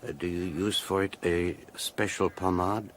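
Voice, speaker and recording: An actor with a French-speaking Belgian accent playing a courtly, vain, shrewd detective with theatrical, formal phrasing in a radio play. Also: male voice, low-pitched, steady tone, a little slow, a little hiss or hum. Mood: teasing, flattering. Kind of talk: British radio drama acting.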